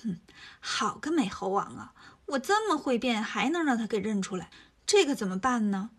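A woman's voice: fairly high pitch, big pitch swings, slightly rough. A woman voicing a cunning shape-shifting demon disguised as an old man, sly and whining. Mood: scheming. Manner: sly, whining, slow mournful pleading.

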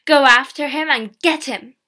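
A woman voicing an angry, scornful, demanding girl in a storybook, with a loud, sharp delivery. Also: mid-range voice, fairly even intonation, moderate pace, close to an everyday voice. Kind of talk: storybook character acting.

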